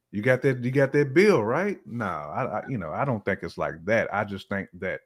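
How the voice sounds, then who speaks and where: A man's voice: lowish voice, sing-song, moderate pace. A man speaking as a podcast guest, sharing opinions in casual, slangy, conversational speech. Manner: informal, colloquial speech with slang.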